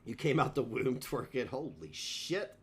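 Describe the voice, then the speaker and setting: A man's voice: mid-range voice, very animated, a little slow, slight background noise. A man, chatting casually on a livestream in a rambling, self-mocking way and tripping over his words. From Kentucky.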